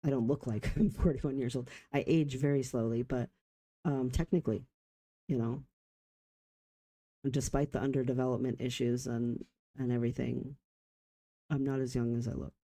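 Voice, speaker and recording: A man of about forty talking reflectively in a personal vlog, halting in short phrases with pauses. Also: medium pitch, steady tone, dark tone. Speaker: vlogger.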